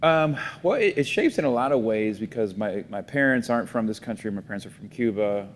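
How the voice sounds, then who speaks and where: Low-voiced man, reflective, roomy sound. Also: lively intonation, fairly quick. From Miami, United States. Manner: reflective, many fillers and restarts. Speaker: guest, foundation CEO. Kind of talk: casual interview talk. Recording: roomy reverb, faint rumble.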